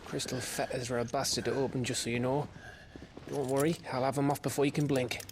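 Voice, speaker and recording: An actor playing a cheeky, blunt rogue ally in a video game, with a British accent and colloquial speech. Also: male voice, medium pitch, little pitch movement, a little fast, noisy background, lightly acted. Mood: brisk, wry. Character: cheeky, foul-mouthed rogue ally, adult man.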